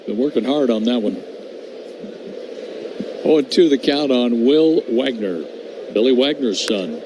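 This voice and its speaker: A man's voice: medium pitch, lively intonation, a little slow. A man giving live baseball commentary and banter on a radio broadcast. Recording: slightly noisy radio broadcast.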